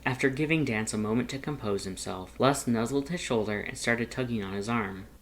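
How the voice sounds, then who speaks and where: A narrator reading fan fiction aloud as an expressive, scripted audiobook-style story. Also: medium pitch, fairly quick.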